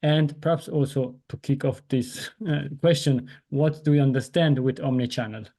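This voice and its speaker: Mellow-voiced man hosting a video call. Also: mid-range voice, fairly even intonation, moderate pace. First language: Italian. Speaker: podcast host.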